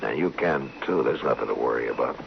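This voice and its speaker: An actor playing a stern, steady Old West marshal in a radio western, speaking firmly and plainly. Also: male voice, very deep voice, brisk, creaky, heavily acted. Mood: calm, reassuring. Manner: firm, plainspoken. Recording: muffled old radio recording, light noise.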